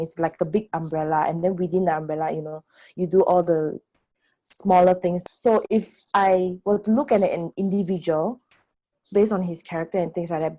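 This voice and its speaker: A young Singaporean woman speaks in a fairly low, mellow voice. She sets out her opinions in a reasoned, articulate way, as part of a conversational panel. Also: low-quality recording. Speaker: first-time voter on a discussion panel. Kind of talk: conversational group discussion.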